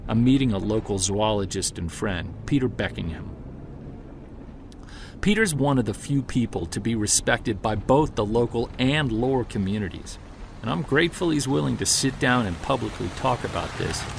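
A man playing a driven, defiant Bigfoot hunter narrating an audio-drama journal with earnest intensity and emphatic pauses. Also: mid-range voice, expressive, vocal fry, background noise. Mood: calm, friendly.

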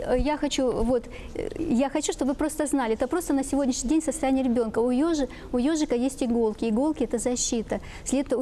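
A middle-aged woman explaining things as an expert guest on a talk show, in a halting way that repeats phrases. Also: high voice, dark tone, slight background noise. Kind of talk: explaining children's drawings to parents. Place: TV studio with seated guests.

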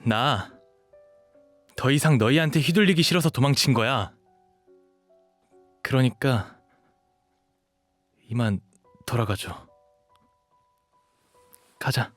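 Man acting a gentle, devoted young dog shifter. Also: medium pitch, slow, long pauses, no echo, faint music behind. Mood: hurt, defiant. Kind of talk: Korean romantic audio drama acting.

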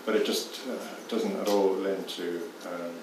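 A man's voice: low voice, little pitch movement, unhurried, background noise. A man in his fifties with an Irish accent, a poet answering questions on stage, hesitant and full of fillers and repeats. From Ireland. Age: 50s. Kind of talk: panel Q&A answers. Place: stage in a dim theatre hall. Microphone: far camera. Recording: echoey hall from far off.

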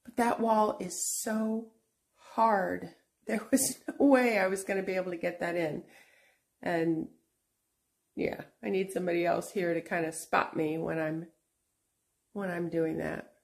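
A middle-aged woman hosting a casual vlog while showing her antique shop booth, talking in an unhurried, chatty way with fillers and restarts. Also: on the low side, steady tone, vocal fry. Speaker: antique shop owner and channel host.

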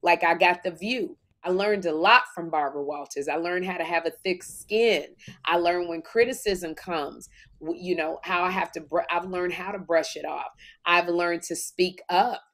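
A woman in her fifties with a low, deep voice talks in a lively, casual way. She tells her stories with energy and slips into acted-out quotes as she goes. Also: normal speed. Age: 50s. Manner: animated storytelling, acts out quotes. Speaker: podcast co-host. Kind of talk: casual faith-filled chat.

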